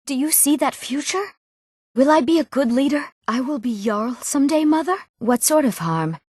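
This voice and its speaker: A woman's voice: sits high, lively intonation, normal speed, a little mellow, no room sound. Earnest young fantasy townswoman, dry sound. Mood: anxious. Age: young adult. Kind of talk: scripted fantasy video game voice acting. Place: recording studio.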